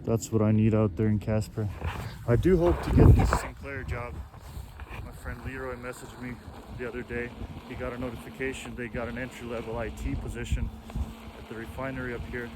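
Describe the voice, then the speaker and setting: Man, Russian accent, forties, mid-pitched, easygoing rambling vlog talk, heavy wind roar on the mic. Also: brisk. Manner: relaxed, meandering, self-correcting talk. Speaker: vlogger. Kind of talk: casual rambling chat while riding. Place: outdoors on snowy roads, riding an electric unicycle. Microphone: action camera mic. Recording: loud wind noise outdoors. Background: wind and road noise.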